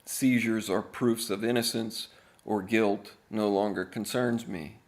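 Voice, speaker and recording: A man reading aloud as an author, in a deadpan, measured manner with deliberate pauses. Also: lowish voice. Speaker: author reading own book.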